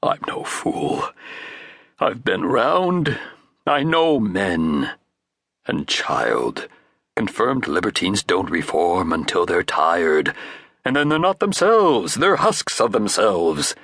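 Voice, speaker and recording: Man doing a pompous older uncle, stern, slow, grandiloquent, dry close sound. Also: mid-range voice, sing-song, a bit bright, no echo, pitched above the performer's own voice. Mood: lecturing. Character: pompous gray-haired uncle, stern and lecturing.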